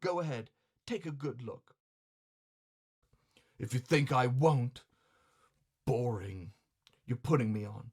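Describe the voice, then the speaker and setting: A man's voice: medium pitch, slow pace, long pauses, darkish tone, breathy edge. A man playing a grumbling, hot-tempered, vain male customer in a comic story, blustering with sarcastic, colloquial indignation. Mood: bossy, suspicious.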